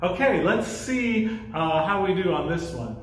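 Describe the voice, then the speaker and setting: High-voiced American man in an echoey room. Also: big pitch swings, a little slow. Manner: simple, encouraging explanations with demonstrations. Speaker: church children's music leader. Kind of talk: instructional talk for a children's sing-along. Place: church music classroom with a piano. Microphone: camera microphone a few feet away. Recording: very echoey room, camera-distance sound.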